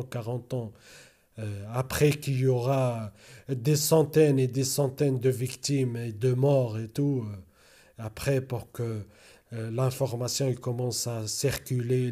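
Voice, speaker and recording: A slow-talking man with a dark, mellow voice speaks French as a second language in a casual monologue to his viewers. He rambles, repeats himself and keeps stalling on fillers while he searches for words. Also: medium pitch. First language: not French. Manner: rambling, repeats "vous voyez" often; hesitant, many fillers and restarts. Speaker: YouTuber.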